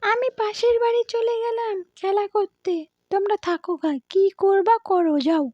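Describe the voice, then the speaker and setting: A woman's voice: very high voice, normal speed, warm tone, no room sound, muffled recording. A woman voicing a sweet, sulky little girl in a cartoon, with a pleading, childlike manner.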